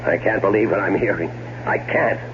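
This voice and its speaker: A man's voice: sits low, normal speed, close to an everyday voice. An American actor playing a scheming, irritable, sarcastic man in a 1970s radio drama, stammering when cornered. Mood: angry, sarcastic. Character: scheming, irritable man, bitterly sarcastic older-brother type. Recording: muffled, noisy old radio broadcast recording.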